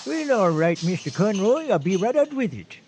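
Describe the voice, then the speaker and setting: An actor playing a polite, homespun small-town diner keeper in a radio drama. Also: male voice, high voice, sing-song, moderate pace, darkish tone, slight background noise. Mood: polite, folksy. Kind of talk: comic radio drama acting.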